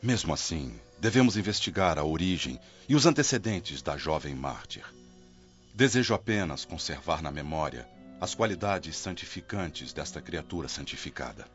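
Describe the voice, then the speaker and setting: An actor playing a stern, solemn head of a monastery in a Brazilian radio drama, speaking with grave authority. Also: male voice, rumbling low, lively intonation, normal speed. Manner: solemn, commanding. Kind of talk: dramatic radio play acting. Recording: dull lo-fi audio with music.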